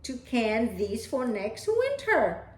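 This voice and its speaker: A woman's voice: a little high, huge pitch swings, slow, full character voice. A woman voicing a caring young storybook mother with an emphatic, exclamatory way of talking, in an echoey room. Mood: brisk, gently dismissive. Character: caring young mother, brisk then alarmed.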